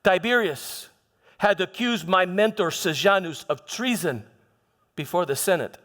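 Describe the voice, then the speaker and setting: Guy acting as a proud roman governor, kinda high voice, halting storyteller, defensive, big echoey hall. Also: a bit bright. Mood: grave, wary. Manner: halting, repeats words, theatrical storytelling. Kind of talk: first-person church dramatic monologue. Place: church, live dramatization. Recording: reverberant hall.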